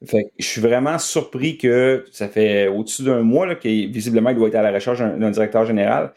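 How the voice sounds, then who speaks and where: A man's voice: on the low side, brisk, a little mellow. A middle-aged man with a Quebec French accent giving casual sports analysis as a guest journalist.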